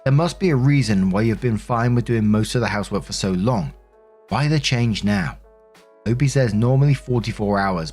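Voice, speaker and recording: A man with a fairly low voice reads online stories aloud as a host. His delivery is that of a narrator who adds casual commentary. Music plays behind him. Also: darkish tone.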